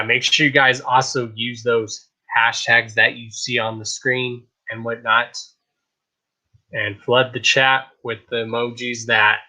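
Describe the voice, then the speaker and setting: A man's voice: medium pitch, pushed and bright, rough recording. Hyped casual man hosting a live stream. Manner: hyped, rambling, repeats "I kid you not". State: slightly raspy voice. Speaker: host of a live streamed talk show.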